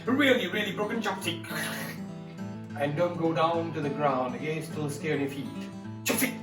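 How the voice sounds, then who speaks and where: A man telling a story to an audience in a playful, lively manner, leading them in movement games before the tale. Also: on the high side, normal speed. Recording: echoey room, distant mic, guitar music.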